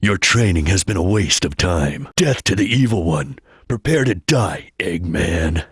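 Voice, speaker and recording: A man voicing a stern, disciplined ninja chameleon in a video game, with a solemn, formal, clipped delivery and a husky voice. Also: sits low, expressive, measured pace, no room sound, studio quality, exaggerated voice. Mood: grave, resolute. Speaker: video game character giving battle lines and tutorials. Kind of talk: dramatic video game voice acting.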